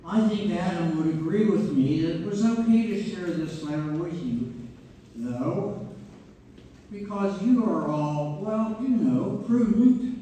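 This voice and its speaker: Heard at a distance in a very echoey hall, a man plays a genial narrator guiding a theater audience. He speaks slowly with a dark, mellow tone. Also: high voice, lively intonation, slight background noise. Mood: genial, confiding. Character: genial male stage narrator and guide. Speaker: narrator of a stage play. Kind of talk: scripted narration addressed to an audience.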